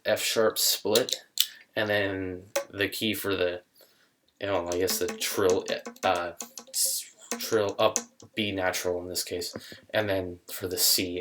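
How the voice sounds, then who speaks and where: A man's voice: fairly low pitch, background noise. A young man talking casually to camera in a small room, thinking aloud with many fillers and restarts. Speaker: musician demonstrating an instrument.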